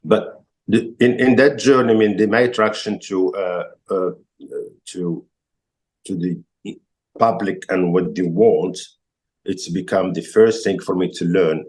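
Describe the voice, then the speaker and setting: Deep-voiced man with a Moroccan accent. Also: a little mellow. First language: Arabic. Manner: hesitant, many fillers and restarted words, non-native phrasing. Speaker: chef and restaurant owner, guest. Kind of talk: casual interview conversation.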